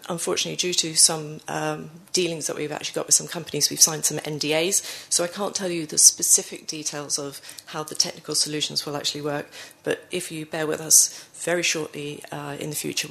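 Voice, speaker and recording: A woman with a cold giving a conference presentation, her voice croaky, with many fillers and self-corrections. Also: deep voice, a bit bright. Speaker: conference speaker.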